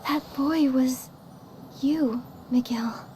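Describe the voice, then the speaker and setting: A woman voicing a kind, earnest young heroine in a video game, speaking gently and carefully with a soft tone. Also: high-pitched, very slow, a little hiss or hum. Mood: somber, careful. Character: kind, earnest young heroine recounting what she saw. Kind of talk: video game story acting. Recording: faint music behind the voice.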